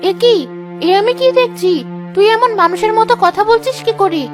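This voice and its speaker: A woman playing a doting village wife in a cartoon story, speaking in a dramatic, expressive way. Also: very high-pitched, normal speed, over music. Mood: astonished, moved. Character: childless village wife, doting on her pet goose as a daughter. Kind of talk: Bengali cartoon story dialogue.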